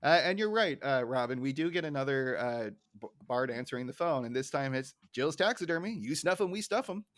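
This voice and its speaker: Male podcast host, mid-pitched, casual and jokey, retells episodes and acts out the lines while laughing often. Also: expressive, brisk. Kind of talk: casual TV episode recap with acted quotes.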